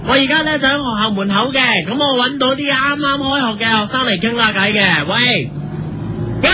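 Man as a brash TV reporter, high voice, blunt, mocking, Hong Kong accent, noisy street behind. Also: moderate pace. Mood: brash, exasperated.

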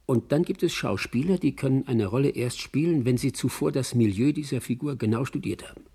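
An actor playing a reflective man who muses and explains as he reminisces, in a husky, gravelly voice, in a radio drama. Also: mid-range voice, brisk, warm tone. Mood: reflective, admiring. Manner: musing, explanatory. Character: reflective male raconteur reminiscing about actors.

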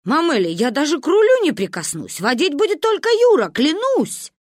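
A woman's voice: mid-range voice, big pitch swings, a little slow, no echo, studio quality. A woman voicing a hapless, well-meaning uncle in an audiobook, protesting and apologetic. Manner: protesting, conciliatory.

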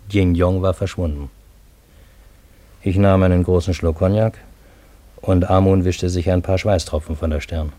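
An actor as a wry first-person storyteller, narrating a radio drama in a measured, matter-of-fact way. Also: male voice, deep voice, dark tone, no echo, close to an everyday voice. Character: wry male first-person storyteller recounting strange events.

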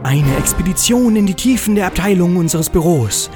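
Woman acting as Jane, melodramatic, lively office heroine. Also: lowish voice, normal speed, faint music behind, close to an everyday voice. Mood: determined. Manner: melodramatic, urgent. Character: Jane, earnest, devoted female office adventurer. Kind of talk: comedic radio play acting.

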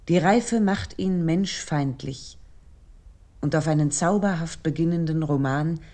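A woman reading a scripted literary essay in a measured, solemn manner. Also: low voice, warm tone. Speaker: narrator and literary commentator.

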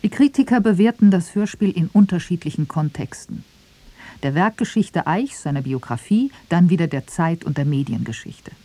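A woman's voice: fairly low pitch, animated, normal speed, warm tone, dry recording. A woman narrating a scripted radio feature in an argumentative style. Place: dry radio studio.

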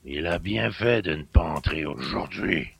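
A man voicing a surly, grumbling middle-aged country innkeeper with rustic speech and a gravelly growl. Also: low voice, lively intonation, measured pace, no echo, voice pushed down, exaggerated voice. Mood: gruff.

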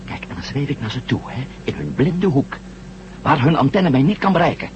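A man acting in a Dutch radio drama as a bold, quick-witted former astronaut, persuasive and decisive. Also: mid-range voice, very animated, natural voice. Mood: determined. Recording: muffled, noisy old radio drama recording.

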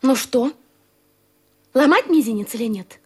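Radio play: naive, coquettish golden woman, high and swooping, flaring from tender to indignant. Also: expressive, measured pace, darkish tone, breathy edge, exaggerated voice. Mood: coaxing, insistent. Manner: coquettish, exclamatory, swings from coaxing to indignation. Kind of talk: theatrical radio play acting. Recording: studio radio play with music.

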